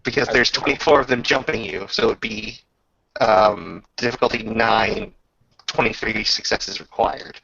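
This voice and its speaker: A man with heavy vocal fry and a bright, forceful edge runs a tabletop roleplaying game. He talks haltingly and at an unhurried pace, muttering over rules and dice. The recording is dull and lo-fi. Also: on the low side, no echo. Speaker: game master. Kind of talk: running a casual tabletop roleplaying session.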